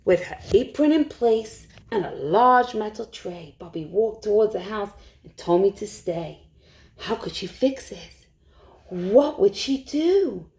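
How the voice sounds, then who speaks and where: A woman storytime teacher reading a rhyming picture book aloud to young children in an expressive way. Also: medium pitch, slow. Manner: expressive, hushed suspense, asks listeners questions.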